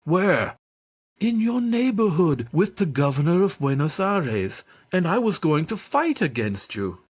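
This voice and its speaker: A man's voice: on the high side, measured pace, husky, pitched above the performer's own voice, exaggerated voice. A man voicing an overjoyed, emotional young man who exclaims in amazement in a storybook reading. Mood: amazed, excited. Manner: excited, exclamatory.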